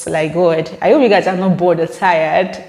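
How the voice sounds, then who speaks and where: A young woman with a Nigerian accent talks to her viewers in a relaxed, chatty way and explains her points at length. Her voice sits low for a woman. The recording is roomy, with a long reverb trailing each phrase. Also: a little slow. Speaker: vlogger reading viewer comments. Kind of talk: casual chat to camera. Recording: echoey room with long reverb.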